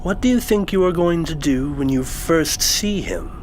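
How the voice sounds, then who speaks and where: A man's voice: on the high side, expressive, moderate pace, close to an everyday voice. Guy doing cool controlling professor in a radio play, noisy ambience behind him. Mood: expectant. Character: reserved, coolly controlling male professor. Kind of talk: audio drama acting.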